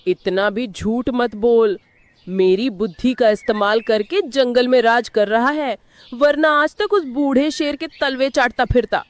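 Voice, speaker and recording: Woman as a high-voiced sly cartoon fox queen. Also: unhurried, close to an everyday voice. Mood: scheming, scornful. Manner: crafty, haughty. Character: sly, self-important female fox posing as forest queen. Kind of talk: animated moral-story character acting.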